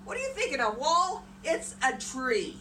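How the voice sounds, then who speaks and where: A woman voicing curious, stubbornly sure blind men in a folktale, with emphatic, drawn-out declarations. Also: high-pitched, very animated, bright, forceful tone, full character voice. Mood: contradicting, certain. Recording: noisy room, distant mic.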